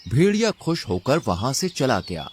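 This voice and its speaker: A man's voice: mid-range voice, very animated, normal speed, husky. A man narrating a scripted children's story as the storyteller.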